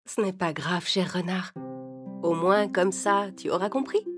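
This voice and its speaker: Woman playing a soft-spoken, polite lady stork. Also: fairly low pitch, steady tone, measured pace, music in the background, natural voice. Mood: gentle, forgiving. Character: female stork, polite, hurt but patient neighbour. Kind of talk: children's animated fable.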